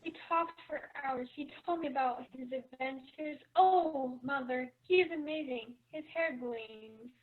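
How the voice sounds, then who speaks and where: A woman's voice: high-pitched, unhurried, few pauses. A woman playing a dreamy, gushing, spoiled young stepsister in a children's play reading. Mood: dreamy boasting. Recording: thin phone-like sound.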